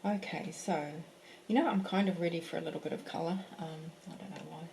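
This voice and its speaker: A woman's voice: low voice, brisk, creaky, darkish tone, a little hiss or hum. A woman teaching a live painting class from her home, chatting casually as she works and thinking aloud with fillers and restarts. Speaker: art teacher. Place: home in a French village.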